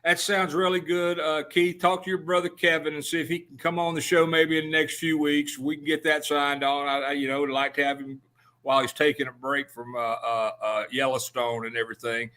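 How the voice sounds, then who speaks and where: A man hosting a radio show, talking in a folksy, joking way with halting restarts. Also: fairly high pitch, little pitch movement, pushed and bright. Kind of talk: casual on-air interview.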